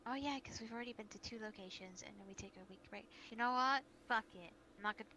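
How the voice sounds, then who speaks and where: A woman with a creaky voice talking in an improvised online roleplay as the group's leader. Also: fairly high pitch, fast talker, bright, forceful tone. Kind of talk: in-character improvised roleplay chat. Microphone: VRChat voice chat headset or mic. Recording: noisy online voice chat.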